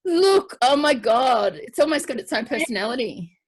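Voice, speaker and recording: High, husky-voiced woman hosting playfully. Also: big pitch swings, normal speed, rough recording. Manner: playful, bantering. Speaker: host of an online variety show. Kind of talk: casual group video chat. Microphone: video call. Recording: video call audio.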